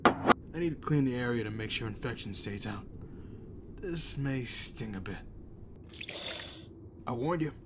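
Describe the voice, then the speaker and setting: A man playing a calm, caring friend tending wounds in an audio drama, measured and lightly wry. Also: mid-range voice. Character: calm, caring, drily humorous man acting as medic. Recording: clear background ambience noise.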